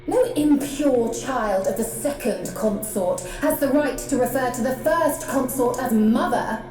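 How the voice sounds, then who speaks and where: An actress playing a haughty court villainess in a game, formal and full of contempt. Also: female voice, a little high, measured pace, a little mellow, natural voice. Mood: contemptuous. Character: haughty, scornful female villain, loyal court subject. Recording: echoing chamber, faint music.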